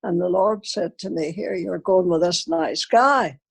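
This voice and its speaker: A woman's voice: on the low side, unhurried, vocal fry, breathy edge. An elderly woman, a grandmother, telling personal family stories unscripted and acting out remembered conversations. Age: older, elderly grandmother. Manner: anecdotal, acts out remembered dialogue.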